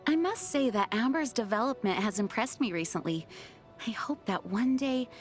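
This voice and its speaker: A woman's voice: a little high, expressive, normal speed, soft background music, lightly acted. Woman doing young lady knight from a video game, earnest and proper, composed, very formal wording. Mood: warm, reflective. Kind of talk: video game character voice lines.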